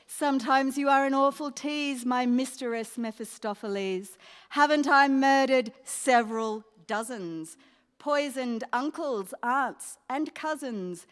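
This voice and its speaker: An actress as a proud, seductive lady poisoner plotting in a radio play. Her voice is clear and smooth, delivering rhymes slowly, with a long hall echo around it. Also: high voice. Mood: proud, boastful. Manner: deliberate, scheming rhymed delivery. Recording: echoey hall, live stage.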